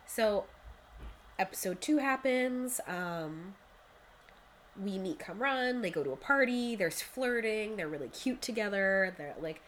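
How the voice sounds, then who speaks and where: A woman's voice: medium pitch, unhurried, slight background noise. A young woman co-hosting a podcast, recapping a show in casual chat, full of "like" fillers. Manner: chatty, many "like" fillers, quotes characters in lively voices. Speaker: podcast co-host.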